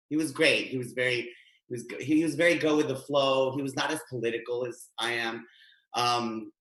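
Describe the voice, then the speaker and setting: A man in his thirties from Guatemala, doing a chatty, animated stand-up set over a webcam from his home. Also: fairly low pitch. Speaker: stand-up comedian. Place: home room. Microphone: webcam, video call. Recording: echoey home room.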